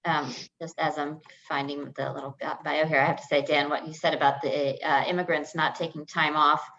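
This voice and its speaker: A woman hosting an online author event, introducing guests in a slightly halting way over a video call. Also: low-pitched, flat delivery. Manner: warm, slightly scattered, halting. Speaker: host. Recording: dull lo-fi video call audio.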